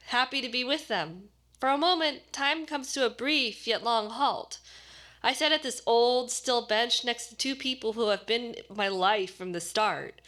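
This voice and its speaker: Bright-voiced woman reading a story. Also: on the high side. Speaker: actor reading a short story. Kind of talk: expressive scripted narration.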